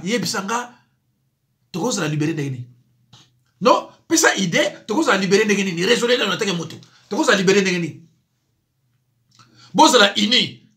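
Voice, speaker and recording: A man's voice: high voice, sharp tone. A man, a pastor giving impassioned spoken commentary, pressing his listeners with rhetorical questions. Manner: rhetorical questions, pleading and urgent.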